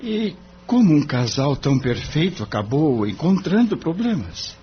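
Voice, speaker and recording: An actor with a Brazilian accent playing an earnest young lawyer, polite, formal and gently probing in an audio drama. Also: male voice, on the high side, sing-song, measured pace. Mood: reassuring, probing. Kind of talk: audio drama acting in Portuguese. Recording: dull lo-fi audio with clear noise.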